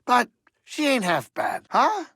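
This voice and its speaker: A man voicing a grumbling but warm-hearted older alien pilot and saloon keeper in a video game, with a gravelly, blustery, wisecracking delivery. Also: very high-pitched, huge pitch swings, takes it slowly, dry recording, clean studio sound, heavily acted. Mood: bossy, animated. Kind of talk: expressive video game character acting.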